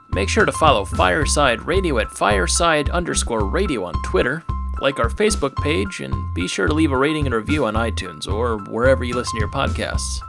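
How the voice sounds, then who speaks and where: Casting: male credits announcer, mid-pitched with a creaky edge, scripted read, clear music bed behind.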